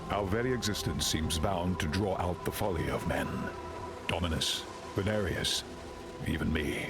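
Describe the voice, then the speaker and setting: A man's voice: deep voice, moderate pace, close to an everyday voice. An actor playing a brooding, grieving father in a fantasy game, delivering a grave, reflective monologue. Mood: mournful, brooding. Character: brooding, grieving father weary of human folly. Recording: loud music over the voice.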